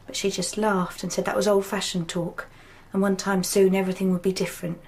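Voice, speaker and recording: A woman playing a timid, soft-hearted young housemaid in a radio drama, with a working-class English accent and a hesitant, apologetic way of talking. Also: medium pitch, little pitch movement, fairly quick, slightly rough. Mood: hesitant, confiding.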